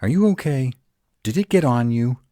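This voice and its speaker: A man playing a gruff, protective, uptight male were-dragon in an intimate roleplay audio drama, halting and dramatic. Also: medium pitch, animated, warm tone, no room sound, studio quality, lightly acted. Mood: agitated, incredulous. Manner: halting, dramatic, slow delivery with emphatic outbursts.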